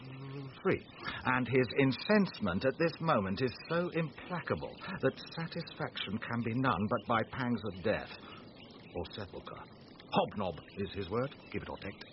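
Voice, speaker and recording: An actor playing a boisterous, scheming knight in a Shakespearean radio comedy, blustering with mock-solemn theatrical delivery. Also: male voice, mid-range voice, animated, moderate pace, lightly acted. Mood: menacing, mock-solemn. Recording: dull lo-fi radio recording, noisy.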